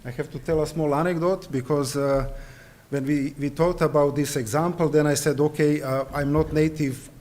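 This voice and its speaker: Serbian-native male lecturer, higher voice, hesitant, lots of ums, conference hall. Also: steady tone, moderate pace, a little mellow. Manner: hesitant, frequent fillers and restarts. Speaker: conference presenter.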